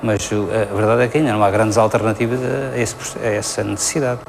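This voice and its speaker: A man with a gravelly voice, explaining bluntly as an interviewed expert. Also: fairly low pitch, fairly even intonation, quick pace, slight background noise.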